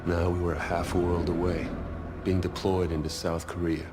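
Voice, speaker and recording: Weary American Marine narrator, male actor, very low, solemn and measured, over heavy music. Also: little pitch movement, lightly acted. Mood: somber. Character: weary young American Marine recounting his war. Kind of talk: scripted reflective voice-over narration. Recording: loud music under the voice.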